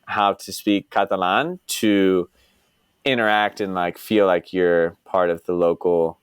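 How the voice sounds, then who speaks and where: A man's voice: deep voice, steady tone, a little slow, clean tone, no room sound. A young man from Dallas, chatting casually as a podcast guest, rambling and filling his talk with "like" and "you know". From Dallas, United States. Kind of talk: casual interview chat.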